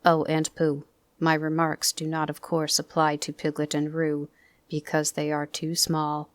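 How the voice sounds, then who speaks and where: A woman reading a storybook, voicing a gloomy, self-pitying old male donkey in a slow, mournful, pompous way. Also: deep voice, monotone, no room sound.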